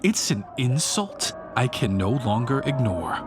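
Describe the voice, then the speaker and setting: A man's voice: medium pitch, sing-song, unhurried. A man performing a bitter, self-righteous failed painter turned fanatical vandal in an intense, rhyming dramatic monologue. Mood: grim, disgusted. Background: music behind the voice.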